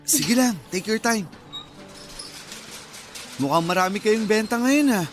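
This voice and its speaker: High-voiced, good-natured young man. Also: lively intonation, slow, faint music behind. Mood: upbeat, teasing. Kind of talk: Filipino radio drama acting.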